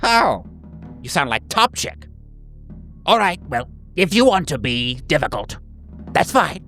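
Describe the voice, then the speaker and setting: Horror game villain: small man, voiced by a male game master, high swooping menace, sharp edge. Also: big pitch swings, a little slow, soft background music, heavily acted. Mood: taunting.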